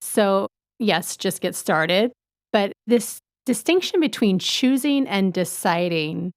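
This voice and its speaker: A woman in her fifties co-hosting a podcast, talking things through thoughtfully and often pausing to search for words. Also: medium pitch, animated, dry recording, studio quality. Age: 50s. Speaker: podcast co-host. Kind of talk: reflective casual chat.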